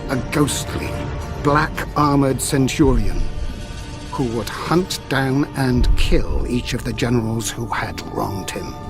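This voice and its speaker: Casting: grave legend narrator, mid-pitched, scripted game drama, music underneath. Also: male voice, takes it slowly. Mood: solemn. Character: solemn storyteller recounting a Roman legend.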